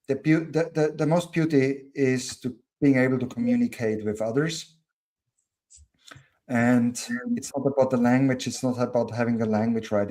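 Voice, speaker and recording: A man with a German accent speaks as a podcast guest at an ordinary middle pitch. He talks earnestly, circling back on his words as he thinks aloud. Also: darkish tone. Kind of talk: casual conversation.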